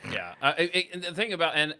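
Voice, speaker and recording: A man with a bright, forceful voice pitched a little high, talking as a podcast host. He reminisces casually and effusively, leaning hard on his points and repeating them. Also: sing-song, racing.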